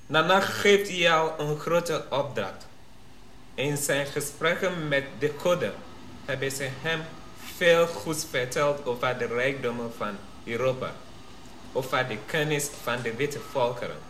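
A man's voice: mid-range voice, a little slow, a bit bright, natural voice. An actor playing the solemn spokesman of an Ashanti king in a radio drama, formal and ceremonious. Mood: solemn, proclaiming. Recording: roomy sound with faint noise.

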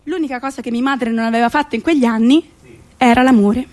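A woman's voice: fairly high pitch, fairly even intonation, fast talker, darkish tone. A woman delivering theatrical storytelling narration as a stage actress performing a solo play. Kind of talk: theatrical monologue narration in Italian.

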